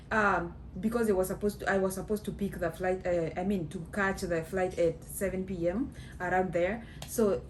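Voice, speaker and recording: A woman's voice: medium pitch, a little mellow, a little hiss or hum, rough recording. A woman in her thirties from Kenya with a Kenyan accent, telling a story to the camera in a chatty way full of fillers. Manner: slow, chatty, many fillers, mixes in Swahili phrases. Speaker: vlogger. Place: small indoor room at home.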